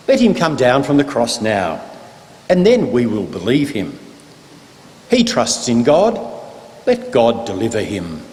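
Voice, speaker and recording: A man's voice: mid-range voice, a little mellow. A man playing a sneering religious elder who mocks a condemned prisoner, declaimed in a dramatic scripture reading. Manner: declamatory, derisive, projected. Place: large church, live reading. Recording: echoey church hall, long reverb.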